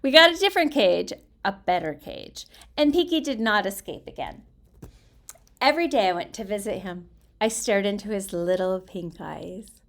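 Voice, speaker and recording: A woman storyteller reading aloud in an expressive, theatrical way, with deliberate phrasing and hushed emphasis. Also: medium pitch.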